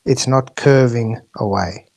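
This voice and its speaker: A man's voice: medium pitch, slow pace, creaky, a little mellow. A man from South Africa hosting a heated online debate and pressing for yes or no answers. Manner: insistent, pressing questions.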